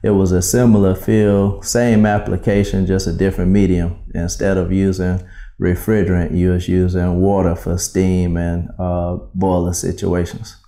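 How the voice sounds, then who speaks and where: A man from South Carolina, telling his story as a business owner in an interview, polite and measured with frequent ums. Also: low-pitched, little pitch movement, mellow.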